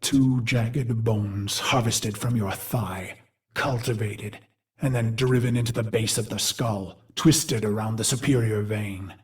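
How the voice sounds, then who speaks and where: A man voicing a brooding warrior machine mind in a video game, recalling a brutal past in a grave, theatrical monologue with deliberate phrasing. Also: on the low side, steady tone, darkish tone. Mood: grim, resolute. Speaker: game character narrator. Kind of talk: dramatic scripted game monologue.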